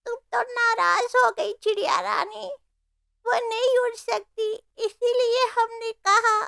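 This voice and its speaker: A woman's voice: very high-pitched, fairly even intonation, takes it slowly, creaky, bright, forceful tone, dry recording, clean studio sound, voice pushed up, exaggerated voice. A woman voicing a callous, meddling female crow in a cartoon story, with a pushy, insinuating way of talking. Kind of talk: Hindi cartoon story voice acting.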